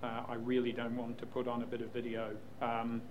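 Brief: male news executive, flat even delivery, careful and hesitant, faint room noise. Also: medium pitch. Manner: measured, hesitant with many ums. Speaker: news executive answering questions. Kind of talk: Q&A panel talk.

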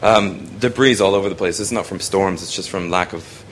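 Young guy from hong kong, really low voice, lots of ums, faint room hum behind. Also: normal speed. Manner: rambling, many ums and restarts. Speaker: student photographer presenting his work. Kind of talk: casual spoken presentation.

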